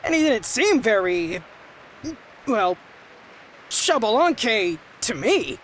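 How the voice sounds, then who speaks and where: A woman's voice: on the high side, very animated, slow, a little hiss or hum, low-quality recording. A woman voicing a doubtful young tournament fighter, hesitant and disbelieving in a video game cutscene.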